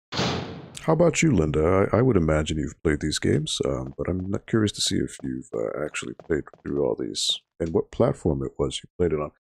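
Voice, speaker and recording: Library stream host, man in his thirties, hoarse and raspy, low gravelly voice, relaxed and chatty while he plays. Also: moderate pace. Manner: relaxed and chatty, explains each step while playing. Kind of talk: casual gameplay commentary to a live chat.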